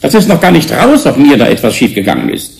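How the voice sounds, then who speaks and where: An actor playing a prickly, defensive genetic scientist in a German radio play. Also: male voice, mid-range voice, huge pitch swings, quick pace, vocal fry, darkish tone. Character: defensive male gene programmer, prickly scientist.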